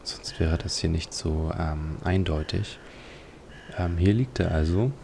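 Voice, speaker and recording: A man's voice: deep voice, unhurried, creaky, a little mellow, slight background noise. A man hosting a let's play, giving leisurely, reflective commentary on a video game.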